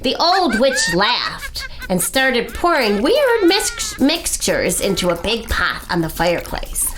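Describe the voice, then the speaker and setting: A woman narrating a children's storybook as a library storyteller, in a dramatic, deliberate and expressive way. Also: sits high, slight background noise.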